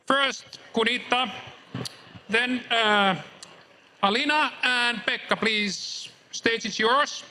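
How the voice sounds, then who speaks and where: A man's voice: very high-pitched, takes it slowly, pushed and bright, rough recording. A man hosting informally on a conference stage with a playful manner. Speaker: event host introducing panel. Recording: faint ambient noise, live event stage.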